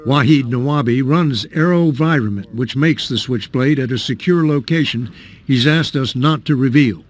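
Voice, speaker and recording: A male television correspondent narrating a news report and asking crisp, probing questions. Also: mid-range voice, moderate pace.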